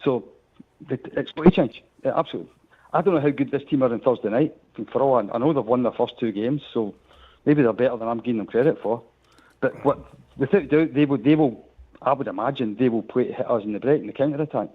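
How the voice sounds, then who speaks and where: A man's voice: mid-range voice, fast, slightly rough. A Scottish man calling in to a phone-in show, frank, repeating himself as he talks. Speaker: caller. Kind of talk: phone-in chat. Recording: tinny phone line.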